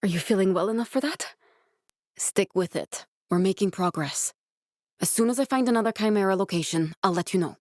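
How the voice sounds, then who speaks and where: An actress playing a guarded, driven scientist in a video game, speaking in a measured, clinical way. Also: female voice, mid-range voice, no echo, studio quality, natural voice. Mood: dry, matter-of-fact. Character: guarded, driven woman scientist guiding a survivor, dry and earnest. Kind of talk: video game character voice acting.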